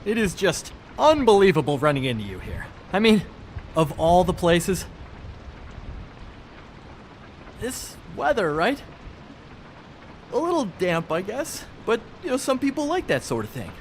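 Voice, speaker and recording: An actor playing a slippery small-time thief in a video game, talking in glib, ingratiating, hedging patter. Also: male voice, sits high, big pitch swings, normal speed, slight background noise. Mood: feigned breezy surprise.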